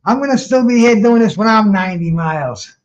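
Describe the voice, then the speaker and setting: A man's voice: high voice, animated, a little fast, highs cut off. A man hosting a boxing chat in a casual way, often repeating words as he starts a sentence. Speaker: show host.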